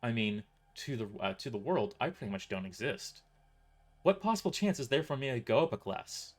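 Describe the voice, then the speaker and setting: A man's voice: mid-range voice, lively intonation, fairly quick. A man narrating his own story in the first person as a scripted audio drama. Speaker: author and narrator.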